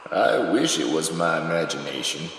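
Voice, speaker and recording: A man's voice: very deep voice, lively intonation, moderate pace, a bit bright, heavily acted. A man playing a world-weary rustic father in a bleak audio drama, speaking in terse, grave, folksy phrases. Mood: grave, resigned. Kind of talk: surreal audio drama dialogue. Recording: very echoey reverberant hall, faint noise.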